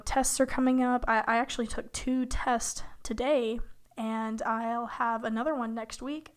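Hoarse young woman, chatty podcast host. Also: on the high side. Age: young adult. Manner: chatty, rambling, with fillers and light laughs. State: hoarse from illness. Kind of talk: casual solo podcast talk.

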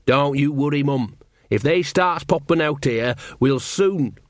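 A man's voice: fairly high pitch, a little slow, dry recording, studio quality, lightly acted. A man voicing a simple, earnest grown blacksmith, plain-spoken, in an audiobook. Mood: confident, reassuring. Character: simple, sturdy grown blacksmith son, confident. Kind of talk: audiobook character acting.

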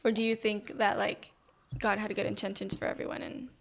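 A woman's voice: mid-range voice, level tone, brisk, gravelly. A young woman hosting a casual podcast chat, argumentative and profane, often laughing. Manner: argumentative, swears, laughs a lot. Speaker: podcast host.

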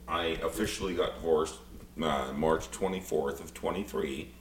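A man's voice: rumbling low, moderate pace, a little hiss or hum. A man in his forties, co-hosting a podcast in casual chat, rambling and blunt with frequent swearing. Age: 40s. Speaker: podcast co-host.

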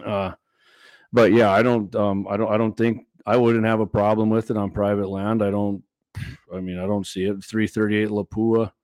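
Man, hoarse tonight, lowish voice, halting casual talk, dry sound. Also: level tone, a little mellow, no room sound. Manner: halting, stumbles and repeats words. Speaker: podcast host. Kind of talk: casual podcast chat.

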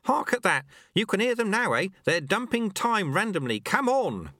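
A man voicing a chatty, excitable old monk mentor who fusses and exclaims as he goes. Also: high-pitched, normal speed, no echo, clean studio sound, voice pushed up, full character voice. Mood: alert, fussing. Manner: exclamatory, fussy, rambling.

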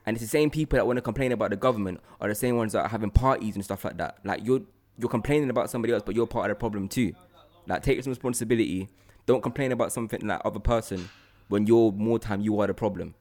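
A young man hosting a podcast, chatting casually and slangily in an animated, repetitive way. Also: lowish voice, racing. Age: young adult. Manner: animated, repeats phrases for emphasis, slangy. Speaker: podcast host. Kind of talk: casual opinionated chat.

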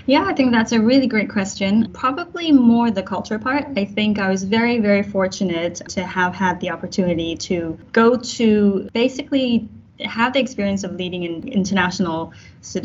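A woman from Taiwan in her late thirties, a marketing executive answering podcast questions in a measured, thoughtful way. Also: medium pitch. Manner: measured, thoughtful, uses corporate phrasing. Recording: dull lo-fi with faint noise.

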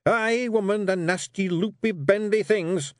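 Brief: loud, high, growling Scottish pixie brawler, as a man puts him on. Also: unhurried, no echo, clean studio sound. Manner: growling, blustering. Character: gruff small male warrior pixie chief. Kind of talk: audiobook character voice.

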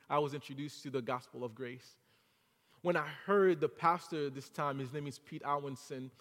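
A man's voice: fairly high pitch, fairly bright tone, big-room sound. A man from Haiti with a French accent, preaching an earnest sermon to a congregation that builds to fervent exhortation. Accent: French-accented English. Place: church sanctuary.

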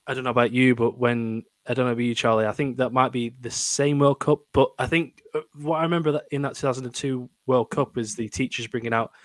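A man's voice: medium pitch, fairly even intonation, fast, few pauses, dry recording. A man co-hosting a casual football podcast, asking questions hesitantly and chuckling between restarts. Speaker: podcast co-host. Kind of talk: casual chat.

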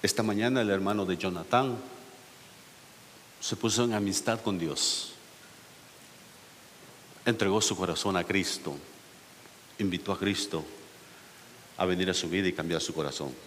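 Pastor preaching in an echoey hall. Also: male voice, low voice, normal speed, long pauses, a bit bright. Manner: asks the congregation questions, rises to emphatic peaks. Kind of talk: sermon. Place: church sanctuary. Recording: echoey church hall with faint hiss.

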